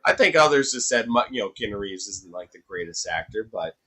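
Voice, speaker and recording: Animated man in his thirties. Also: fairly low pitch, brisk. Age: 30s. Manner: animated, laughs often, swears casually. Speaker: guest. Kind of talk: casual chat.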